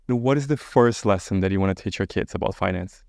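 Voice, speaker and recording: Podcast host guy, lowish smooth voice, quick curious questions, dry room. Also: a little mellow, no echo. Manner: curious, brief prompting. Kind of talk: interview questions.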